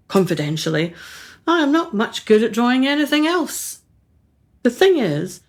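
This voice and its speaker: Actress as haughty woman, slow swooping delivery. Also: medium pitch. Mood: self-satisfied. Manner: arch, condescending, theatrical. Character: haughty, self-absorbed successful woman painter, a cool birth mother. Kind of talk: radio play acting.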